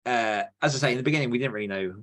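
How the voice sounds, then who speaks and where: Man hosting a casual podcast, medium pitch. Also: rapid-fire, a bit bright. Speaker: podcast host.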